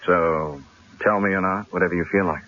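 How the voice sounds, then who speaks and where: An actor playing a cool, shrewd newspaperman in a radio drama, speaking in a measured, dryly sardonic way. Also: sits low, fairly even intonation, natural voice. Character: cool, shrewd newspaper columnist, stalling his would-be killer. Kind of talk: 1970s radio drama acting. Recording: dull, lo-fi old radio broadcast.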